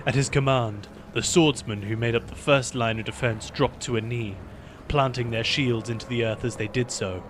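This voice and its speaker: Guy reading dark fantasy battle narration, steady delivery, noisy recording. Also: medium pitch. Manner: measured storytelling. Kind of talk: dramatic scripted narration.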